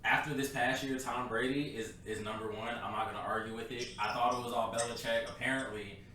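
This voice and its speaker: A man's voice: mid-range voice, fairly even intonation, brisk, pushed and bright. A man in his twenties co-hosting a podcast, talking in a blunt, opinionated, casual way and laughing easily. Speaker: podcast co-host. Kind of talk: casual chat. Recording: very echoey room, far from the mic, faint noise.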